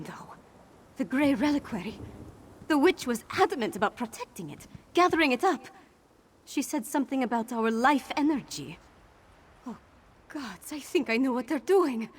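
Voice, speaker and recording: A woman's voice: fairly high pitch, lightly acted. A woman playing an earnest, determined young warrior grieving her town in a fantasy video game. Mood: troubled, pensive. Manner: sincere, resolute. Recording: game ambience noise behind.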